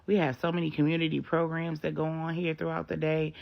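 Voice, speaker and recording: A woman's voice: low-pitched, little pitch movement, brisk, vocal fry, pitched above the performer's own voice. A woman storyteller voicing a friendly, easygoing female pastor with a casual, breezy manner. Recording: dull lo-fi microphone.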